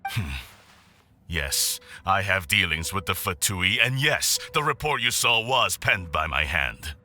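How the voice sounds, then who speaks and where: A man's voice: low-pitched, sing-song, unhurried, background noise. A man voicing a proud, fanatical middle-aged clan lord in a video game, imperious and grandiose.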